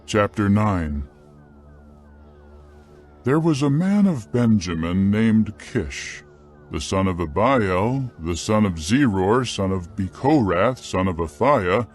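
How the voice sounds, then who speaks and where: A man narrating scripture from a script in a solemn, measured, carefully phrased dramatic style. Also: fairly low pitch, darkish tone.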